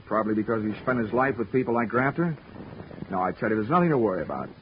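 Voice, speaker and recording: A man's voice: on the low side, lively intonation, fast, a little hiss or hum, close to an everyday voice. An actor playing a hard-boiled insurance investigator in a 1940s radio drama, narrating his case with dry wisecracks. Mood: wry. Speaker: narrator and lead. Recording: lo-fi 1940s radio broadcast with music.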